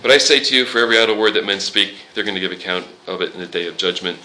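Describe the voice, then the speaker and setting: A man's voice: deep voice, racing, pushed and bright, a little hiss or hum, low-quality recording. A man leading an informal Bible study class, quoting scripture and joking with the students. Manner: conversational, quotes scripture, jokes with the class.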